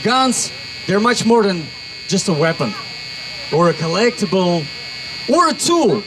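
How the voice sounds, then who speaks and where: A young man with a Russian accent addresses an outdoor rally crowd, his voice pitched high and rising to shouts as he gets worked up. Noise hangs clearly behind him throughout the speech. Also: measured pace. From Uzbekistan. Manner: energetic, builds to shouts. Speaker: rally speaker. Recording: noisy outdoor crowd recording.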